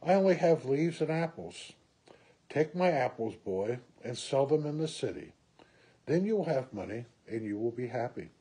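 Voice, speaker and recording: Storybook tree, female, loving and generous, read by a man. Mellow, unhurried, lilting delivery. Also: mid-range voice, animated, slightly rough, poor audio. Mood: gentle, giving. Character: gentle, loving, giving female apple tree.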